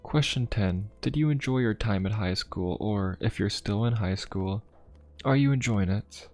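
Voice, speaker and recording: Male actor as a young steampunk pope, lowish pitch, polite, formal, curious, ASMR roleplay, soft musing manner, music underneath. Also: unhurried, natural voice. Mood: musing, friendly. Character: courteous, inquisitive young male pope of a steampunk fantasy city. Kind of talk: ASMR roleplay addressed to the listener.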